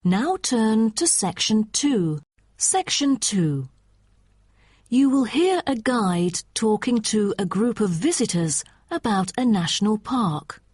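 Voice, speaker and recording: A woman reading scripted test instructions as an exam narrator, in a formal, deliberate way. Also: fairly high pitch, very animated, a little mellow, breathy edge, dry recording, clean studio sound.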